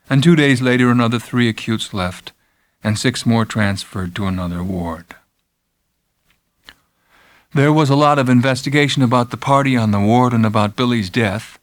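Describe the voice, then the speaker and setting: A man's voice: lowish voice, dry recording. Intense man narrating, in a very dry recording. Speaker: audiobook narrator. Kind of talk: dramatic first-person literary narration.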